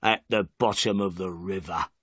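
A man reading a storybook, voicing a bitter middle-aged male prisoner who speaks slowly and low with resignation. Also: steady tone, a bit bright, no echo, clean studio sound. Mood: flat.

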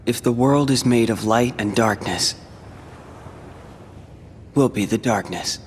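A man with an American accent playing a brooding, world-weary teenage warrior in a video game, speaking in a measured, reflective way. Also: mid-range voice, slight background noise, lightly acted. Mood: resigned. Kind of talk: video game cutscene acting.

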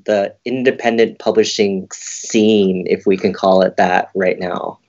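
A man co-hosting a podcast, asking questions casually over a video call with headphones on, quick with fillers. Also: low-pitched, steady tone, a little mellow. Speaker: podcast co-host. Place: home office, indoors. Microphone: headset on video call. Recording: muffled video-call audio.

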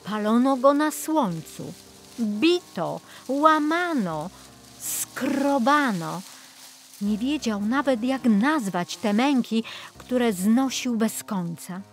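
A woman storyteller giving expressive scripted narration of a children's audiobook. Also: on the high side, slow. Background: music.

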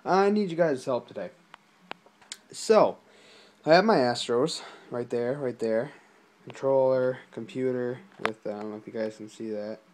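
A young man talking casually and haltingly to viewers, as in an informal gaming vlog. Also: mid-range voice, a little mellow, a little hiss or hum. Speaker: gaming video creator. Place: small indoor room at a desk.

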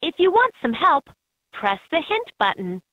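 A woman's voice: high-pitched, very animated, normal speed, no room sound. A woman voicing an upbeat guide in a children's educational animal game, in scripted narration with slightly dull game audio.

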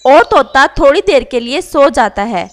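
A woman with a very high voice serves as narrator. She delivers scripted children's story narration. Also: lively intonation, measured pace.

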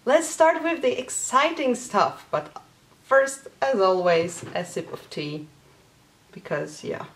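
A woman's voice: mid-range voice, big pitch swings, takes it slowly. A woman in her thirties from Poland, hosting a knitting podcast in casual, chatty talk to camera from a small home craft room. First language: Polish. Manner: chatty, with many fillers and small laughs.

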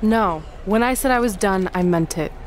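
A woman's voice: medium pitch, moderate pace, slight background noise, lightly acted. An actress voicing a cranky, sarcastic young female former wrestler in a video game. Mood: grumpy. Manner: grumpy, sarcastic.

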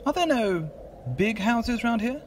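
A man playing a suave, polite but harried gentleman on the run in a comic radio play. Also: extremely high pitch, lively intonation, a little slow. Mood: polite, probing. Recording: clearly noisy homemade recording.

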